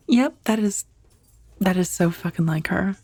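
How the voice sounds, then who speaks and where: A woman playing a professional dominatrix on a blind date in a soft-spoken ASMR roleplay, breathy and often near a whisper, confiding and teasing. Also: lowish voice, no echo. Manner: hesitant, confiding, teasing, with sighs. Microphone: close, dry ASMR microphone.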